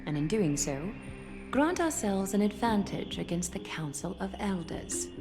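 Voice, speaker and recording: An actress playing a regal, composed female ruler in a game, speaking formally and with measured authority. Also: mid-range voice, very animated. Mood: solemn resolve. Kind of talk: dramatic game voice acting. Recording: music behind the voice.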